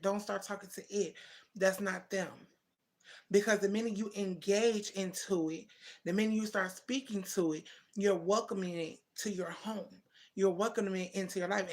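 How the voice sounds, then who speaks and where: Brief: woman in her thirties, livestream host, gravelly voice, chatty and familiar with her viewers. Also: medium pitch. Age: 30s. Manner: chatty, addresses viewers as baby and twin, answers comments. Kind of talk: casual live chat with viewers.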